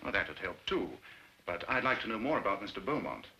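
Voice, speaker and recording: A brisk, wry investigator. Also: male voice, low voice, big pitch swings, noisy background. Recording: old film soundtrack with music behind.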